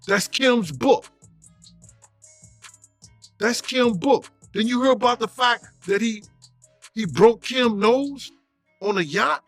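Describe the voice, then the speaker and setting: A man's voice: very high-pitched, takes it slowly, pauses often, fairly bright tone, no room sound, soft background music. A man speaking in a loose, casual conversational way in a clip, repeating points for emphasis. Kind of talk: casual talk.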